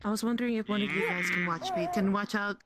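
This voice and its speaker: A woman's voice: medium pitch, fast, over a noisy crowd, natural voice. A woman playing a protective, motherly character in an online roleplay, hesitant at times and firm when scolding. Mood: earnest, worried. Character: protective, motherly woman, bossy and anxious about a child.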